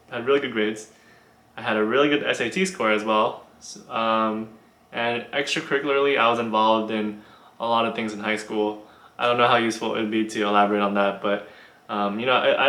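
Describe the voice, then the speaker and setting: A young man answering viewer questions in a casual video, relaxed and halting with many ums and restarts. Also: on the low side, reverberant. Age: young adult. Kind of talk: casual Q&A vlog.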